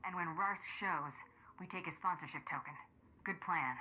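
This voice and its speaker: A woman's voice: fairly low pitch, normal speed, sharp tone, a little hiss or hum, low-quality recording. An actress playing a cool, curt female bounty hunter in a video game, clipped and businesslike. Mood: cool, confident. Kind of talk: cinematic video game dialogue acting.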